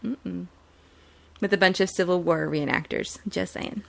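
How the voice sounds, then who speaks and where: A woman's voice: on the low side, fairly even intonation, a little slow, creaky, a bit bright. A woman chatting casually as a panelist on a TV recap podcast.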